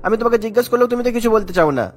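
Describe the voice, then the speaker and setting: A man's voice: very high-pitched, animated, very fast. A man playing a modest, suspicious shopkeeper husband in a cartoon, questioning in a troubled way. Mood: worried. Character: worried, honest small snack-shop husband, suspicious and modest. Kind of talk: Bengali cartoon voice acting.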